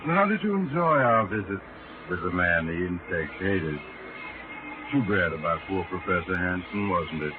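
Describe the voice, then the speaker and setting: An actor playing a wry, mysterious host of a 1940s radio horror show, addressing the listener with mock sympathy. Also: male voice, low-pitched, expressive, moderate pace, over music. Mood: wry, sinister. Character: sinister, wry male mysterious storyteller. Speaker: host narrator. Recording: tinny 1940s radio recording.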